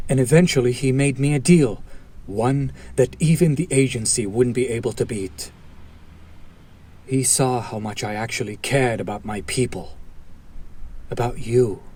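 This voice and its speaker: Casting: male actor for a bitter hero-turned-villain in an audio drama, mid-range voice, slow deliberate delivery, sardonic and protective. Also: medium pitch, a little mellow, slightly rough. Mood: explaining, earnest. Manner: deliberate, sardonic, theatrical, with long pauses. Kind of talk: dramatic audio roleplay monologue addressed to listener.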